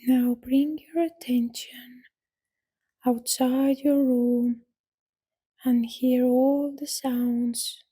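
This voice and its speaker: Very slow, soft, breathy woman guiding relaxation. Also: sits high, level tone. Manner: soothing, gentle instruction with long pauses. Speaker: yoga nidra guide. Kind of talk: guided relaxation meditation, scripted.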